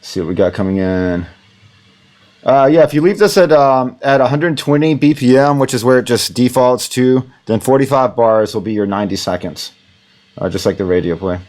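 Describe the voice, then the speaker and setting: A man's voice: medium pitch, normal speed. A man teaching audio production in a casual, rambling way from a home studio, with many fillers. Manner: rambling, relaxed, lots of fillers. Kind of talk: casual recorded tutorial.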